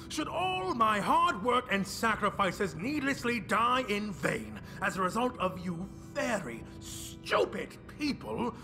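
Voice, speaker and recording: Creaky, high-pitched theatrical villain. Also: male voice, full character voice. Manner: grandiose, sneering, drawn-out delivery. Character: theatrical scheming villain, menacing and world-weary. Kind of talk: scripted video game villain acting. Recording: music under the voice. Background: prominent music.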